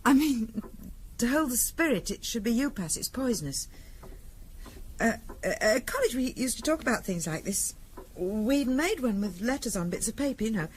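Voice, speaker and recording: A woman acting an earnest English wife with a taste for the occult. She speaks in a highish, expressive voice, hesitant but quietly insistent. It is seventies radio drama, with faint noise on the recording. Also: moderate pace, natural voice. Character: earnest middle-class wife fascinated by the occult.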